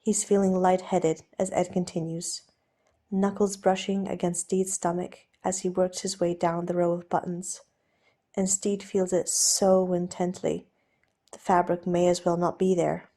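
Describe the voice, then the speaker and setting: A woman's voice: on the low side, little pitch movement, a little slow, warm tone. A woman reading scripted fiction aloud as an audiobook narrator.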